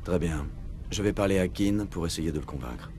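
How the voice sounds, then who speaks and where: An actor playing a steady, direct military commander, the hero of a video game, with a composed manner. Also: male voice, sits low, moderate pace, noisy background. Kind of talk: video game dub dialogue.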